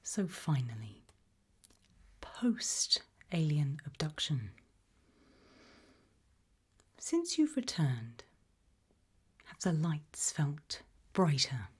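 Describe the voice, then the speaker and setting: A British woman speaking in a soft, breathy, mostly whispered ASMR style, gently asking measured questions as an interviewer in a roleplay. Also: deep voice, sing-song. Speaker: ASMR roleplayer as an abduction investigator.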